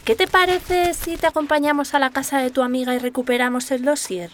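A woman's voice: sits high, fairly even intonation, a little fast, slight background noise, natural voice. An actress playing a practical, concerned woman investigator in a radio drama.

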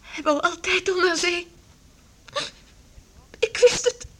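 An actress playing a gentle, wistful young daughter with a soft, sympathetic manner, in a radio drama. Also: female voice, very high-pitched, slow pace, exaggerated voice. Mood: upset, wistful. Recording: hissy old radio play recording.

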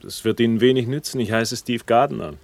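A man's voice: mid-range voice, brisk, gravelly, darkish tone, lightly acted. An actor playing a wry, urbane investigator who narrates his case with literate irony in a German radio crime drama. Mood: teasing, relaxed. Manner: ironic, literate, ornate wording.

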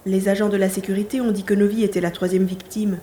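A woman playing a determined, curious young hero in a science fiction audio drama, expressive and thinking aloud. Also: mid-range voice, fast, slight background noise, close to an everyday voice. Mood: puzzled.

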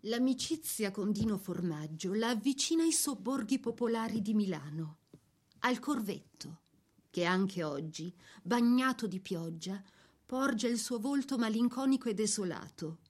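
A woman narrating a scripted biography for a radio drama in a measured, literary storytelling manner. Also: medium pitch, lively intonation, darkish tone.

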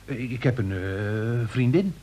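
A man's voice: medium pitch, animated, takes it slowly, mellow. An actor playing a polite, hesitant young male visitor in a radio play, agreeable and mildly amazed. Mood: polite, curious. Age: young adult. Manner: hesitant, agreeable, brief replies. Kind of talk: Dutch radio play dialogue.

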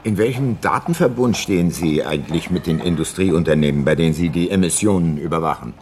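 An actor playing a shrewd, self-assured older private detective in a radio play, speaking in a measured, dry and probing manner. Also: male voice, sits low, a little mellow, a little hiss or hum, close to an everyday voice. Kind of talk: radio play narration and dialogue.

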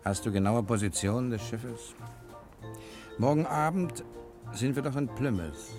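Actor playing a cheeky, slow-talking gentleman thief. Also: medium pitch, over music, natural voice. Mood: jaunty, calm. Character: cheeky, daredevil gentleman thief, calm under arrest. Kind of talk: 1960s German radio crime drama acting.

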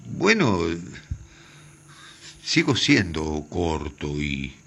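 A man's voice: rumbling low, wildly swooping pitch, extremely slow, gravelly, a bit bright, a little hiss or hum, heavily acted. An actor with an Argentine accent playing a shy, flustered theatre man who stammers and repeats his words in a radio drama. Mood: shy, tender.

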